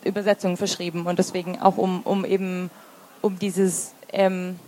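A woman's voice: on the low side, level tone, normal speed, dark tone, low-quality recording. A woman in her thirties with a Swabian German accent, answering interview questions as a literary translator, thoughtful with many fillers and restarts. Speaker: literary translator and review editor.

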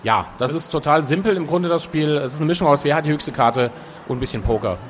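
A man talking casually as a guest in an interview, relaxed and chatty, with frequent filler sounds. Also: fairly high pitch, fast, a little hiss or hum. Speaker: author and guest.

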